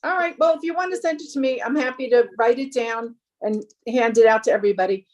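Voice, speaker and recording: Higher-voiced woman taking meeting minutes. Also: fast, darkish tone. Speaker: board minutes taker. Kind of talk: casual meeting discussion.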